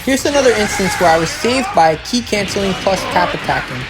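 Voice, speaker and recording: A woman's voice: mid-range voice, animated, a little fast, poor audio. A woman giving an instructional, step-by-step voice-over as the narrator of a gameplay guide. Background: music.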